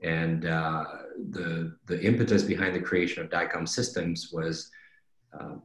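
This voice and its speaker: A man's voice: sits low, little pitch movement, measured pace, fairly bright tone. A middle-aged man presenting conversationally on a webinar, explaining things and often checking in with "right?". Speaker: company executive presenting a webinar. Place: indoors on a video call. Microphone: video call microphone. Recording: roomy, echoing video-call audio.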